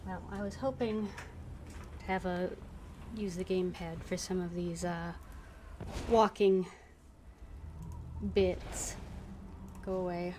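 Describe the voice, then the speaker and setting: A woman's voice: on the low side, fairly even intonation, takes it slowly, long pauses, noisy background. A woman giving casual live gameplay commentary, musing and hesitant as she thinks aloud. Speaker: game streamer.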